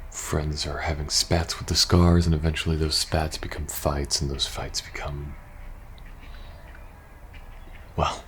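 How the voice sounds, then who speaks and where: A man playing a weary, worried young soldier in an intimate ASMR roleplay, speaking softly and hesitantly. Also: extremely low pitch, gravelly, slight background noise. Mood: subdued, uneasy. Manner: hesitant, halting, sighing between phrases. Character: weary, worried young male soldier in post-apocalyptic faction.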